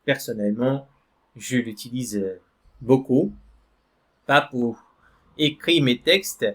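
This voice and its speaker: Male French teacher, mid-pitched, patient and slow, reads screen text aloud. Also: animated, fairly bright tone. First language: Portuguese. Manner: slow, repeats "d'accord?" and "voilà" to check in. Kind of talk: online language lesson.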